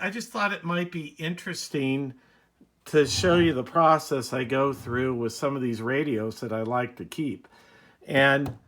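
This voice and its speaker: A man giving a casual, unhurried, rambling demonstration as a hobbyist presenting a video. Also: mid-range voice, fairly bright tone.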